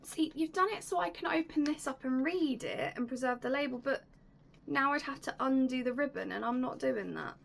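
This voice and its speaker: A young woman hosting a casual unboxing vlog, chatty and enthusiastic, her voice slightly raspy and going hoarse. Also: sits high, moderate pace. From United Kingdom. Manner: chatty, enthusiastic, drops to soft whispers at times. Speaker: YouTube host.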